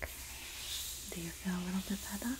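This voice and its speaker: A woman playing a sassy, supportive Jersey girl in a soft-spoken, whispered ASMR roleplay, with a New Jersey accent and a gossipy, soothing manner. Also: lowish voice, noisy background, close to an everyday voice. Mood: soothing, reassuring. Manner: gossipy, flattering, soothing, drawn-out delivery. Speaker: ASMR performer.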